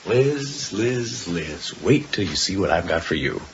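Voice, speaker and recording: An actor playing an eager, helpful male colleague in a radio drama, talking in a brisk, clipped way. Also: sits low, lively intonation, slight background noise. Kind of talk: 1980s radio drama acting. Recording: dull 1980s radio broadcast with music.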